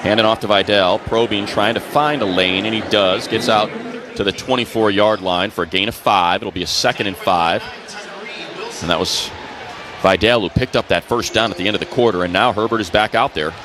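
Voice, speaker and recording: Low-voiced American football play-by-play man. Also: fairly quick. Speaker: play-by-play announcer. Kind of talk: live American football radio commentary. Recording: noisy stadium booth broadcast. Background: stadium ambience.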